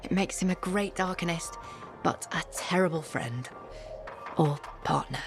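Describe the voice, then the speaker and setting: Candid, dry scholar, music behind. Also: female voice, on the low side, a little slow, creaky. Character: scholarly female arcanist, candid, dryly humorous, easily flustered. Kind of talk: video game dialogue acting.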